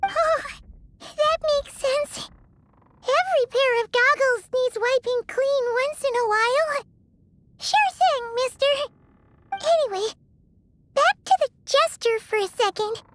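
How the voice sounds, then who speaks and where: Squeaky childlike fairy sidekick, very high, unhurried delivery, faint game music behind. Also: female voice, fairly bright tone, a little hiss or hum, full character voice. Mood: chatty. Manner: talks about herself in third person. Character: tiny floating female companion, childlike, chirpy. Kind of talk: video game voice acting.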